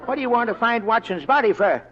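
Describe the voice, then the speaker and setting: A cranky Western lawman with an extremely high, strained-up pitch. He blusters and bosses in old radio drama style, on a dull vintage recording. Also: expressive, fast talker, a crowd in the background, noisy background. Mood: blustering. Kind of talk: 1940s radio Western acting.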